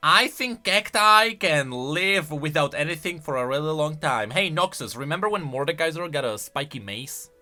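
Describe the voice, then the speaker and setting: A man giving casual, emphatic commentary as a gaming YouTuber, excitable in his delivery. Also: fairly high pitch. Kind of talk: casual lore commentary, reacting to voice lines.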